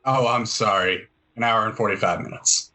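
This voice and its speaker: A man's voice: lowish voice, moderate pace, sharp tone. A man playing a sarcastic, slobbish guy who needles his housemate in a dry way during a tabletop game.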